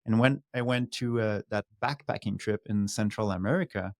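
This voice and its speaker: A man's voice: lowish voice, normal speed, darkish tone, no room sound, clean studio sound. A man from Quebec, a native French speaker with a Quebec French accent, casually telling his own story as a podcast guest. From Quebec, Canada. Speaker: podcast guest, former downhill mountain bike racer.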